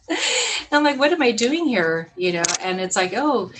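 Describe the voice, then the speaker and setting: A woman, telling stories in a casual podcast interview, animated and laughing often. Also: on the high side, unhurried, noisy background. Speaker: podcast guest, business owner.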